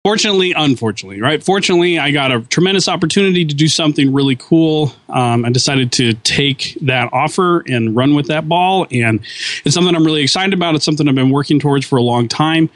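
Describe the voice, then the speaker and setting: A man's voice: on the high side, fast, fairly bright tone, no room sound. A podcast co-host on his last show, chatting casually and earnestly, fond of corny dad jokes.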